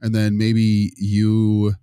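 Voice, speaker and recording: A man playing a friendly, tactful school consultant in a role-play, halting and often rephrasing his questions. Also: fairly low pitch, completely flat delivery, mellow, smooth, no room sound, clean studio sound. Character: friendly, tactful male school behavior consultant probing gently. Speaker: visiting behavior consultant.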